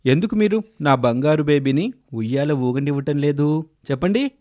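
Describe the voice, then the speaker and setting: A man voicing a kind, doting owner of an old-age home, coaxing and affectionate, in a children's animated story. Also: a little high, normal speed, lightly acted.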